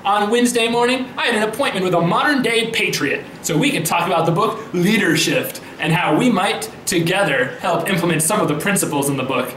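An indignant, self-righteous politician acted out by a man declaims social media posts in a high male voice. The delivery is theatrical and emphatic, with folksy pomp, in an echoey room with faint noise behind it. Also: fairly quick, few pauses, fairly bright tone. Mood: earnest, patriotic. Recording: roomy echo, faint background noise.

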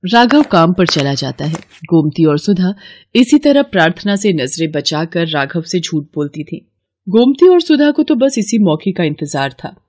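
A woman's voice: on the low side, very animated, normal speed, a little mellow. A woman reading scripted narration for a moral story as the storyteller.

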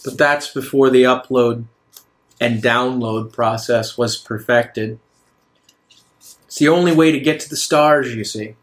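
Man playing an unhurried old mastermind in his nineties. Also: medium pitch, steady tone, natural voice. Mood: calm, explaining. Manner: measured, self-justifying. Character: man in his nineties, reclusive wealthy genius villain.

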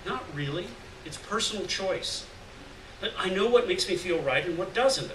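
A man's voice: high-pitched, expressive, moderate pace, a bit bright, pitched above the performer's own voice. A man voicing a serious, guarded poet in a live story reading, speaking in a terse, restrained, plain way. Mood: stiff, guarded. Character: serious, guarded, plain-spoken male poet living alone. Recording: roomy, distant mic, faint noise.

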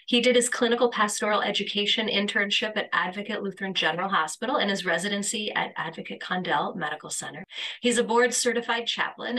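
A woman hosts a video call and briskly reads out a guest speaker's introduction. Her voice is bright, and she sits back from the microphone, so she sounds distant. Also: mid-range voice. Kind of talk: reading a formal introduction. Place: small indoor room. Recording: distant from the mic.

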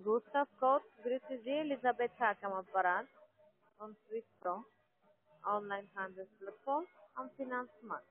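A woman working as a call center agent, making a sales pitch over the phone. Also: unhurried. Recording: tinny narrow phone line.

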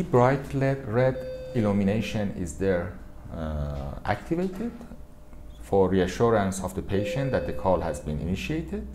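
Man, soft dark tone, lively intonation, halting product walkthrough, explanatory, faint background noise. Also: on the low side. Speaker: product demonstrator. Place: indoor room with equipment demo wall.

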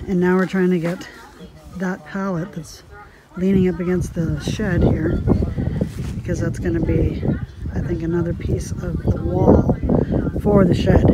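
Chatty giggly woman filming her yard on a phone, slow rambly talk, windy noisy audio. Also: fairly low pitch, flat delivery. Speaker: homestead vlogger. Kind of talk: casual handheld vlog chat. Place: outdoors in a windy snowy yard. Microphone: phone camera held at arm's length.

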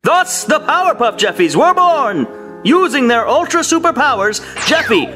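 A man's voice: extremely high pitch, big pitch swings, normal speed. A man playing a playful, mock-heroic narrator in a parody of a superhero cartoon opening. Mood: grandly playful. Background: music.

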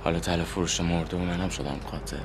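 An actor playing a desperate young man on the run after a killing, talking low and bitter, then shouting in anger. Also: fairly even intonation, background noise, natural voice. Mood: hushed tense. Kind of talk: TV drama acting.

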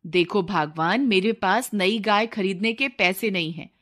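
A woman's voice: mid-range voice, unhurried, fairly bright tone, close to an everyday voice. A woman voicing a humble, patient milkman husband in a children's cartoon story, pleading and resigned in manner. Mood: weary, pleading.

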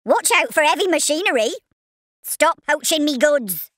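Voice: sits high, animated, moderate pace, fairly bright tone, no room sound, clean studio sound. A performer voicing a cheeky, easily riled cartoon farmer worm for a video game, with a rustic English West Country accent and exclamatory delivery. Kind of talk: exaggerated video game voice barks.

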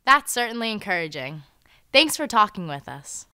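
Bright, crisp teen girl reporter. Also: medium pitch, big pitch swings, normal speed, no room sound, clean studio sound. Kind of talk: scripted news interview. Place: news studio.

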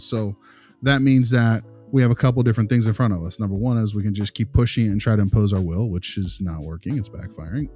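A man playing a patient behavior consultant who gives feedback in a halting, rambling, reassuring, conversational way. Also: fairly low pitch, dark tone, natural voice. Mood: encouraging. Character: male behavior consultant, patient, diplomatic, encouraging. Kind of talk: conversational role-play of a consultation.

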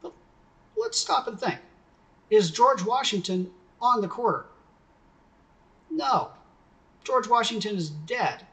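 A middle-aged man delivers a sermon from his home study, speaking into a desk webcam. He teaches in a conversational way and poses questions to his listeners. His voice sits a little higher than most men's. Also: a bit bright. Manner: conversational teaching, asks questions, gestures with hands. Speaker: pastor. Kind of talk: sermon recorded at home for video.

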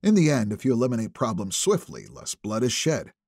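A man narrates a thriller novel in a slightly husky voice with some creak in it. The reading is scripted audiobook narration, recorded very dry with no room around it. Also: mid-range voice, huge pitch swings, fairly quick, a little mellow, no room sound.

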